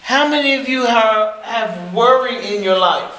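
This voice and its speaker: A man's voice: extremely high pitch, a little slow, pushed and bright. A man preaching in short phrases for an interpreter, speaking in an informal, joking way. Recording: echoey room, faint noise.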